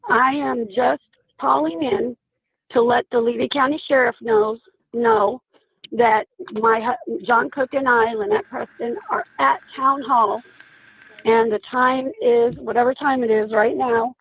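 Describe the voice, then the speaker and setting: A woman calling in a report to a sheriff's office over a phone line, speaking haltingly with a husky voice. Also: fairly high pitch. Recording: tinny phone line recording.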